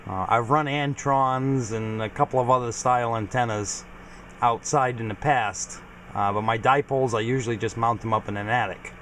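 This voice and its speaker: A man's voice: mid-range voice, fairly even intonation, moderate pace, a bit bright, slight background noise. A man giving a casual, informal equipment walkthrough as a hobbyist video host, explaining things plainly. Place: home garage.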